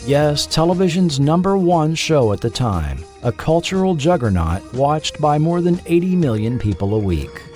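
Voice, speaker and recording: A man's voice: fairly high pitch, very animated, normal speed, hardly any pauses, darkish tone, soft background music. A man narrating a scripted documentary as its host.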